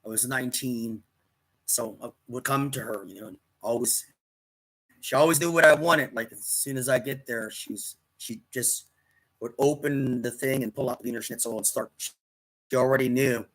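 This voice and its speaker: A man in his fifties reminiscing casually in a rambling, halting monologue, often repeating words as he goes. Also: medium pitch, steady tone, a bit bright. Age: 50s. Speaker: storyteller recounting military service.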